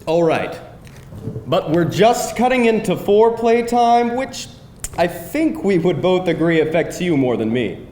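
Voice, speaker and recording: Man acting a high-voiced pushy boyfriend, roomy recording. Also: measured pace. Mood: persuasive. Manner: animated, wheedling, cocky. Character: pushy, glib boyfriend around thirty, impatient and playful. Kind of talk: live screenplay table read. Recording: roomy live hall, faint rumbling noise.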